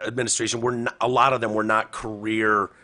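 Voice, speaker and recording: A man's voice: lowish voice, flat delivery, fast talker, bright, forceful tone. A man co-hosting a podcast, chatting casually about politics, swearing freely and slipping into mocking impressions. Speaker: podcast co-host.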